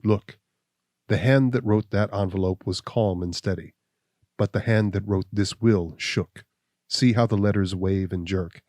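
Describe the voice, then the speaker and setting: A man voicing a stern, implacable accuser who speaks in a deep, level voice, slow and measured. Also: darkish tone, dry recording, studio quality, natural voice.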